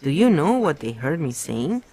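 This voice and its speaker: A man's voice: a little high, very animated, moderate pace, darkish tone, breathy edge, rough recording. A man reading aloud and voicing a pudgy, frightened middle-aged prisoner who pleads eagerly and almost blubbers.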